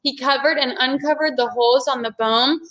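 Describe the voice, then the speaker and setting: A woman's voice: sits high, brisk, fairly bright tone. A woman reading a children's story aloud expressively, as if to a young class.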